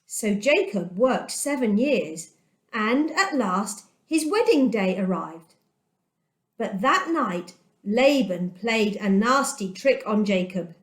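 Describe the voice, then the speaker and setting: A woman reading a children's Bible story aloud as a storyteller, with dramatic, deliberate delivery and emphatic pauses. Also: on the high side, roomy sound.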